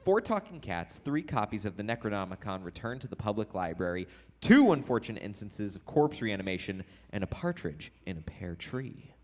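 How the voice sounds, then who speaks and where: Man as lively, hapless young-apprentice type. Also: lowish voice, normal speed. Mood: eager reciting. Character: hapless, earnest male apprentice ghost hunter, meekly literal. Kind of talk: live comedy audio sketch acting. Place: recreation center hall, live stage performance.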